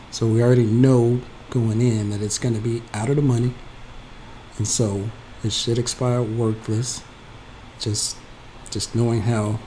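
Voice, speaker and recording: A man with a cold-roughened, hoarse voice explaining an options trade in a halting way, full of fillers and restarts. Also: on the low side, mellow, slight background noise, rough recording. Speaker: trading tutorial presenter. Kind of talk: unscripted screen-share explanation.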